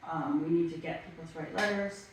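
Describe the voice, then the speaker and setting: Soft-spoken woman in an echoey hall. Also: deep voice, quick pace. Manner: soft-spoken, hesitant with many ums. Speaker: planning commission member. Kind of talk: town meeting discussion. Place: echoey town office meeting room. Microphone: distant room mic. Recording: echoey room from far off, background noise.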